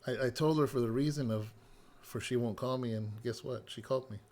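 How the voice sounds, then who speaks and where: Hoarse, raspy-voiced pastor talking casually. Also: male voice, mid-range voice, a little fast. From California. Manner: relaxed, rambling storytelling with many fillers. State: slightly hoarse, recovering voice. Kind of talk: casual spoken devotional update.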